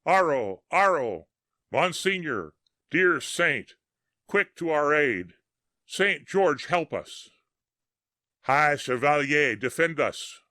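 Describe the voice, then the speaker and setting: A man's voice: on the high side, sing-song, slow pace, long pauses, bright, forceful tone, dry recording, clean studio sound, voice pushed up, full character voice. A man voicing a host of ghostly medieval bowmen calling out fervent battle cries. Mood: fervent crying.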